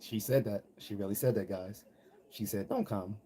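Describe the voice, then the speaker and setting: Mellow low-voiced American man, noisy background. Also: fairly even intonation, moderate pace. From United States. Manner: blunt, conversational, slangy, mimics people he quotes. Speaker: host of a live commentary stream. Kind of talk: casual opinionated monologue. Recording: noticeable background noise.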